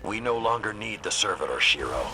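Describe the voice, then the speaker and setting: An actor playing a stern, battle-worn old warrior lord in a video game, speaking in a grave, formal, commanding way. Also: male voice, lowish voice, very animated, fairly quick, bright, forceful tone, slight background noise, heavily acted, rough recording. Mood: grave, firm. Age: older. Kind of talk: dramatic video game dialogue.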